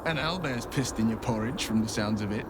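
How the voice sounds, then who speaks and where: A man's voice: fairly low pitch, fairly quick, lightly acted. A man playing a loyal, good-natured young monster hunter in a video game, earnest and given to folksy phrases. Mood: reassuring. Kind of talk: video game character acting. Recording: clear background ambience noise.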